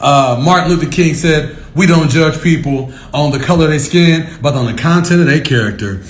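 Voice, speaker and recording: A man giving off-the-cuff opinion commentary, emphatic and halting, often repeating his phrases for effect. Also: a little high. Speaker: commentator on a talk channel. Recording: roomy, echoing sound.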